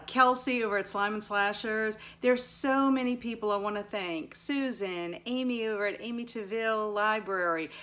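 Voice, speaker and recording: A woman talks through books in a casual vlog style. Her pace is slow and unhurried, with a medium pitch for a woman. She leans on certain words for emphasis as she recaps each story. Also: fairly bright tone. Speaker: book reviewer and readathon host; teacher. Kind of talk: casual book review vlog, recapping and summarizing stories.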